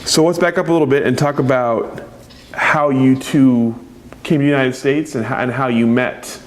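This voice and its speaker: A man conducting an oral history interview, asking questions in a relaxed, friendly way. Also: mid-range voice, moderate pace, a little hiss or hum.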